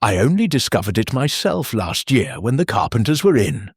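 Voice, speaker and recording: A man as a husky, haggard lord. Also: lowish voice, sing-song, moderate pace, no echo, clean studio sound, exaggerated voice. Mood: strained. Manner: curt, weary, snapping. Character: haggard, harsh, weary titled male householder.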